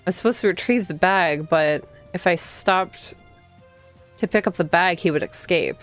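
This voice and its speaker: A woman livestreaming a visual novel, reading the game text aloud and chatting casually as she thinks out loud and reacts to the story. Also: fairly low pitch, moderate pace. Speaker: streamer playing a visual novel. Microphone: streaming microphone. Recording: background music behind the voice. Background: game music.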